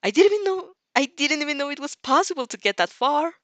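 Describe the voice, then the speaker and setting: A woman's voice: high-pitched, expressive, fast, no room sound, pitched above the performer's own voice. A woman voicing a naive, eager young vampire princess who is earnest, wide-eyed and easily amazed, in an audio story.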